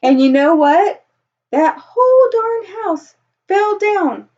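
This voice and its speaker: A woman's voice: very high voice, big pitch swings, slow, darkish tone, pitched above the performer's own voice, heavily acted. A woman voicing an aggrieved, folksy male wolf who tells his side of a children's storybook in a chatty, mock-innocent way. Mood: smug, excusing. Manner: conversational, self-justifying, mock-innocent. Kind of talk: children's storybook read-aloud. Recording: dull lo-fi recording.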